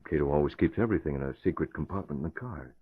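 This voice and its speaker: A very deep, husky male hero, composed and cautious. It sounds dry and tinny, like an old radio show. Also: animated, racing, no echo, exaggerated voice. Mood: guarded. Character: cautious, composed gentleman with secret identity. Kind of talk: 1940s radio acting. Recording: thin phone-like old radio recording.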